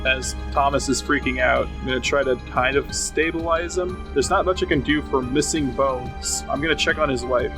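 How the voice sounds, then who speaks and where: A man's voice: mid-range voice, moderate pace, hardly any pauses, over music. A man playing a tabletop roleplaying game, switching between casual game talk and improvised in-character speech.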